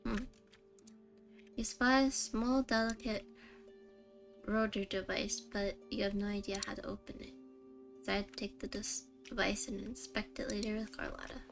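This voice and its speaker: A woman's voice: medium pitch, fairly even intonation, moderate pace, gravelly, pauses often, faint music behind. A woman game streamer talking casually on a live stream and reading game text aloud.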